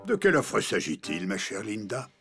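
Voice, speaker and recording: A man's voice: fairly low pitch, huge pitch swings, normal speed, a bit bright, soft background music, exaggerated voice. A man playing a grumbly but kindly old grandfather in a French cartoon, muttering and hesitant. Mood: courteous.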